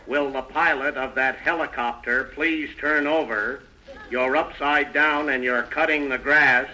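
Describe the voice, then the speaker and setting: An actor playing a deadpan airport loudspeaker announcer in a 1950s radio comedy, with drawn-out formal delivery. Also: male voice, medium pitch, close to an everyday voice. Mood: deadpan, official. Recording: noisy old radio recording, loud audience.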